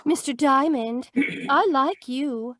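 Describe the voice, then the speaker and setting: Woman to play a flirty, helpless radio ingenue, high and unhurried, coy with every line. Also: a bit bright. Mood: coy, flirtatious. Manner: coy, drawn-out. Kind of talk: old-time radio detective drama acting. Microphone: video call.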